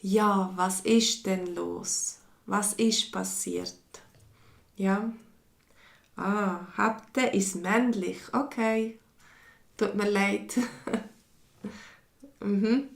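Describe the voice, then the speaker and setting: Swiss woman teacher, slow and patient, gravelly edge, roomy echo; live online lesson. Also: mid-range voice, fairly even intonation. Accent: mixed Swiss German. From Switzerland. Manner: slow, patient, reads viewers' comments aloud. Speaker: language teacher. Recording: echoey room.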